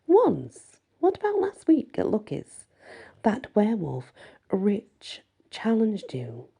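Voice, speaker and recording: Teenage werewolf heroine, acted by a woman: playful, smitten, animated teasing, mellow husky tone, fantasy storybook. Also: mid-range voice, takes it slowly, pitched above the performer's own voice, heavily acted. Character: seventeen-year-old werewolf girl, playful, teasing, smitten.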